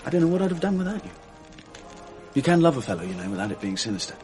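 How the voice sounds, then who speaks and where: A man's voice: fairly high pitch, big pitch swings, very fast, a little mellow, faint music behind. An actor playing a wistful, intense English public schoolboy in his late teens, earnest and languid, in a radio drama. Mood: fond, wistful. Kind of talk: 1980s radio drama acting.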